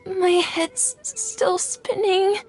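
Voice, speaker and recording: A woman's voice: very high voice, very slow, darkish tone, faint music behind, full character voice. A woman voicing a weak, dizzy young child in a video game, with a small childlike voice. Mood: dazed, weak.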